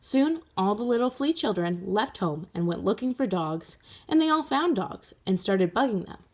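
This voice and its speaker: A woman's voice: medium pitch, sing-song, moderate pace, a little mellow. A woman reading a storybook aloud for children, expressively, speaking directly to young listeners. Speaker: storybook reader. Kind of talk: animated children's read-aloud.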